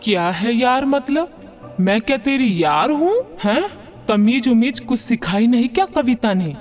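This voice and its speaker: A man plays a proud, middle-aged village mother-in-law in a cartoon moral tale. The voice is very high and unhurried, with a boastful, scolding, rustic way of talking. Music plays clearly underneath. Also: animated. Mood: indignant. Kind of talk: Hindi cartoon moral story dialogue.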